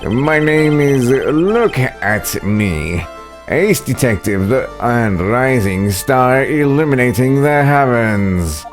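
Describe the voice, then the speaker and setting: A man's voice: medium pitch, expressive, takes it slowly, soft background music. A man voicing a pompous, self-admiring video game detective with theatrical phrasing. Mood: pompous, grandiose. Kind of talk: dramatic video game dialogue reading.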